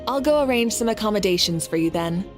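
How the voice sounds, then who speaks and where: An actress playing a self-assured goddess ruler who speaks with relaxed, teasing humor, in a video game. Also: female voice, mid-range voice, quick pace, over music, natural voice. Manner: relaxed, amused, gently teasing. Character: confident female fire goddess ruler, warm-humored.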